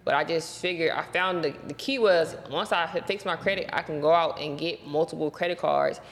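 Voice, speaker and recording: A woman's voice: sits low, brisk, big-room sound. A woman guest in a casual interview, talking loosely with a lot of "like" and "so". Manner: loose and chatty, heavy use of "like" and "so". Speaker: guest, credit repair business owner.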